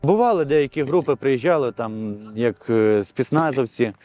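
A man's voice: medium pitch, lively intonation, moderate pace, warm tone, muffled recording, soft background music. A young man, a soldier, answering interview questions outdoors in an open field. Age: young adult.

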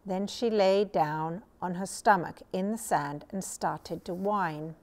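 A middle-aged woman reading a children's story aloud as a storyteller, measured and expressive. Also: fairly low pitch. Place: small furnished room indoors. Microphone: lapel mic.

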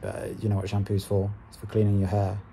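A young man with a British accent talking casually to camera in a halting, understated way, with a husky, gravelly voice. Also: lowish voice, monotone, warm tone, slight background noise. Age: young adult. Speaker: vlogger. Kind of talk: casual vlog talk to camera.

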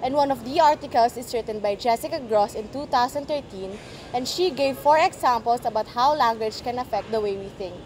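A woman's voice: a little high, fairly quick, a little mellow, slight background noise. A young woman, a university student, plainly reading a class presentation to camera. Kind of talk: scripted class video presentation.

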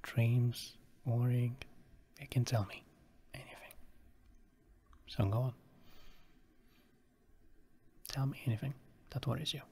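Male actor for tender Russian-accented boyfriend, ASMR sleep roleplay. Medium pitch, very breathy and husky, often whispering, soothing. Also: fairly even intonation, measured pace, long pauses. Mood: tender reassurance. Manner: soft, soothing, reassuring.

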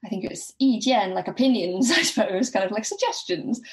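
A woman's voice: medium pitch, expressive, a little fast. A woman speaking as an academic guest in an informal podcast discussion, hesitant and thinking aloud as she goes.

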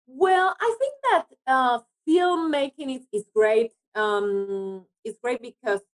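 A woman's voice: high-pitched, slow. Argentinian woman with a Spanish accent. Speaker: guest, actress. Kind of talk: conversational interview.

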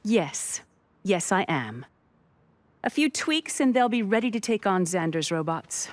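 A woman playing a confident, curt commander with a cool, cutting, deliberate delivery in a video game. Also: medium pitch, expressive, natural voice. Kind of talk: video game cutscene acting. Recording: faint music under the voice.

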